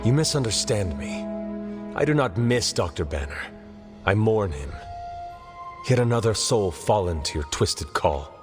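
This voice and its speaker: A solemn, mourning sorcerer in a cinematic game. His voice is fairly low and his words come out grave and formal. Music is clearly heard behind him. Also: very animated, measured pace, pauses often. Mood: mournful, accusing. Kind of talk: cinematic video game dialogue.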